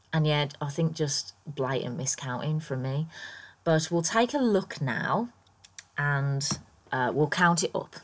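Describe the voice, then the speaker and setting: A woman with a croaky morning voice, chatting through her game build in a rambling, casual voiceover full of ums and small laughs. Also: extremely low pitch. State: croaky, just woken up. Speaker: Sims builder YouTuber.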